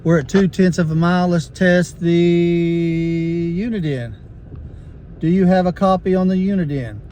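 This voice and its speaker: A man's voice: high-pitched, measured pace, warm tone. A man talking casually to camera in a plain-spoken, folksy way, as host of a field test. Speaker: host of a gear review video. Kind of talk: casual chat to camera while field testing walkie-talkies. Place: outdoors and inside a truck. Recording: noisy outdoor and in-truck recording. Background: vehicle and outdoor noise.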